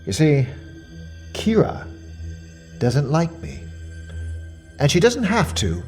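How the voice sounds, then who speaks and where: An actor playing a fussy, self-important mystery shopper with quiet menace, delivering a precise, deliberate monologue in the form of a report. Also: male voice, a little high, wildly swooping pitch, soft background music, full character voice. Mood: wounded, ominous. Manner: pompous, precise, deliberate, dwelling on words. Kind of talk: dramatic monologue as a spoken questionnaire report.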